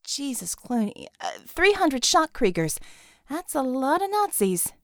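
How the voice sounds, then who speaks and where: A woman narrator plays a female tech operator guiding fighters over comms in an action audiobook. She speaks up with brisk, punchy calls, pitched high and recorded very dry. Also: very animated, dry recording, exaggerated voice. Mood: alarmed. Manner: brisk, punchy radio-call delivery.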